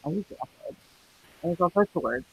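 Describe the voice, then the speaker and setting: A woman's voice: fairly low pitch, animated, fast talker, a little mellow, husky, no room sound, rough recording. A middle-aged woman from New York hosting a livestream, chatting casually, rambling between topics and reading out the chat with frequent laughs. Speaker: livestream host.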